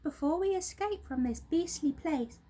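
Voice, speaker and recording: A high-pitched, determined monkey father, voiced by a woman reader, takes charge in a storybook tale. He calls out with urgency and authority. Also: lively intonation, moderate pace, mellow. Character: urgent monkey father, bossy leader of his family.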